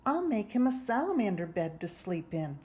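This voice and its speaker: A woman voicing an eager, imaginative young boy in a children's storybook, earnest and enthusiastic with drawn-out phrasing. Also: mid-range voice, big pitch swings, dark tone, voice pushed up, lightly acted. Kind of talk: children's storybook read-aloud. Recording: roomy echo, faint background noise.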